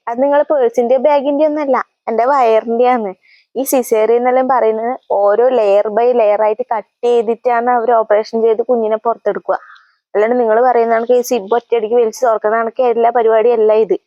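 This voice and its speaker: Woman playing fast-talking, sharp-tongued pregnant young mother, Kannur accent. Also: a little high, steady tone, muffled recording, natural voice. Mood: exasperated, sarcastic. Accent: Kannur, north Kerala. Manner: sarcastic retorts. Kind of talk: comedy sketch acting.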